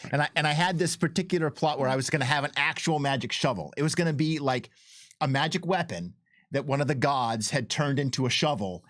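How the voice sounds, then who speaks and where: A man's voice: a little high, brisk, fairly bright tone, dry recording. Casual male storyteller, dry close sound. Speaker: game developer and co-host. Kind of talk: casual chat, anecdotal.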